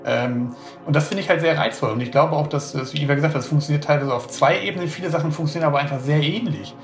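A man's voice: a little high, fast talker, reverberant, soft background music. A man author talking casually and enthusiastically about writing, rambling with many fillers. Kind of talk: casual interview talk.

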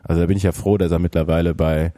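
Man, very deep voice, dark mellow tone, laid-back, says "right?" a lot, dry close sound. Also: flat delivery, very fast, no room sound. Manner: laid-back, tags sentences with "ne?". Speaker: former pro cyclist, podcast co-host. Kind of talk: casual podcast chat.

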